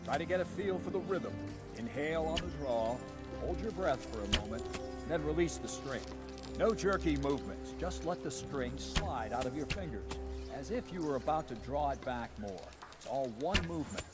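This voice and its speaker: An actor playing a gruff, seasoned guard captain in a medieval video game, instructing in a brisk, commanding way. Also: male voice, fairly high pitch, animated, over loud music, lightly acted. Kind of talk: video game drama acting.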